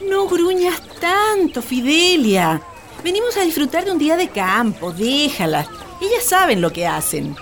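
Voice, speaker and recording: A woman's voice: high-pitched, sing-song, unhurried, exaggerated voice. A woman playing a genteel, easygoing middle-aged mother and hacienda mistress in a radio drama. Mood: languid, indulgent.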